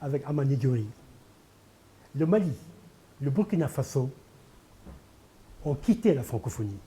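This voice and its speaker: Dark-voiced older man, emphatic. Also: mid-range voice, very animated, moderate pace. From Senegal. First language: Pulaar. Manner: emphatic, repeats key phrases, rhetorical. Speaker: poet and candidate guest. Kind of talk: televised interview.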